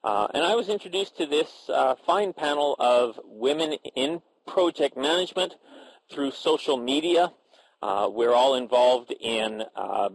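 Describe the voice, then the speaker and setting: Halting man moderating a panel. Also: medium pitch, slight background noise, poor audio. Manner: halting, many filler sounds and restarts. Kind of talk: moderating a professional panel discussion.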